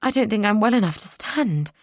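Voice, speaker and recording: Woman reading as a young lady, sly, polite, feigning weakness, higher bright voice, dry sound, storybook reading. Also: lively intonation, fairly quick, no echo, lightly acted. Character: young gentlewoman feigning illness, cunning and composed.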